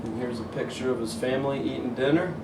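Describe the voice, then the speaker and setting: A man's voice: medium pitch, steady tone, quick pace. Man reading a storybook, distant and echoey. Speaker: teacher reading a picture book aloud. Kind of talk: animated children's story read-aloud, pausing to show pictures. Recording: roomy echo, distant mic, faint noise.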